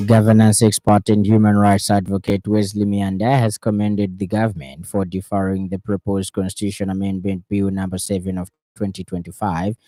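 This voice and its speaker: Deep-voiced Zambian male newsreader. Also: level tone, moderate pace, few pauses, dark tone, no echo, poor audio. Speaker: radio news reader. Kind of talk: formal scripted news bulletin reading.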